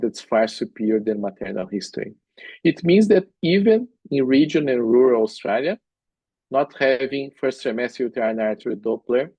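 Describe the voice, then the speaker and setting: A man's voice: mid-range voice, darkish tone, slightly rough, dry recording, rough recording. A middle-aged man from Brazil with a Brazilian Portuguese accent, giving an earnest medical presentation in non-native phrasing with frequent "uh" fillers. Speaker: obstetric specialist presenting at a workshop. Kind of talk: conference presentation with slides.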